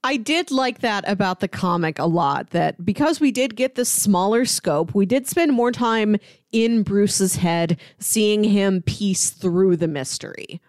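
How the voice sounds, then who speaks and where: A woman's voice: medium pitch, animated, measured pace, a little mellow, dry recording. A woman co-hosting a podcast, talking casually and conversationally about stories she has read and watched. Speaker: podcast co-host. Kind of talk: casual comic and film discussion.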